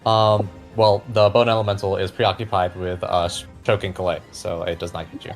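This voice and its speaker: A man narrating a fantasy tabletop game as game master, describing scenes deliberately and with suspense. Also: sits low, little pitch movement, creaky. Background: background music.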